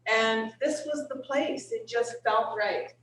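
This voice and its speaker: A woman's voice: a little high, slow pace, fairly bright tone, faint music behind. Earnest older woman in an echoey room. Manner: earnest, hesitant with fillers. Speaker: retiree, board candidate. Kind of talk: candidate speech at a meeting. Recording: echoey room, far from recorder.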